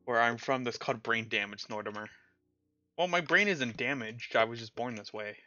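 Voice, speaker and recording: A man's voice: medium pitch, lively intonation, normal speed, bright, forceful tone, muffled recording, faint music behind. A man streaming a game, chatting casually and mocking himself, with a slight stutter at times. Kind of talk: casual chat while playing a game.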